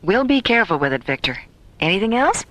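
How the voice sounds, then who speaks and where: A woman playing a capable, brisk and concerned space-station doctor in a radio drama. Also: mid-range voice, big pitch swings, a bit bright, dry recording. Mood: brisk, helpful.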